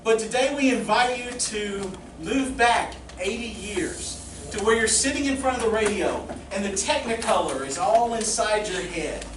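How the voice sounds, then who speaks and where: A man giving a live welcome speech to an audience as presenter of a student production. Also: very high-pitched. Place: live in a community playhouse. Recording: echoey hall, distant mic, clear noise.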